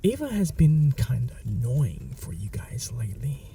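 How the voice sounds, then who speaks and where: A man playing a gravelly, whispering vampire. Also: mid-range voice, normal speed, distant mic, slight background noise. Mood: mocking. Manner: soft half-whispered, mocking and calm. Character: smug teasing male vampire, dominant and possessive. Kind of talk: ASMR audio roleplay.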